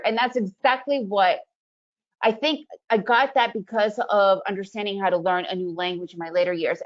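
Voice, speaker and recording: Casting: woman of Russian origin, upbeat webinar presenter, mid-pitched voice, slightly dull lo-fi audio. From Soviet Union, raised in the US. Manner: upbeat, chatty, leans on "right?" tag questions.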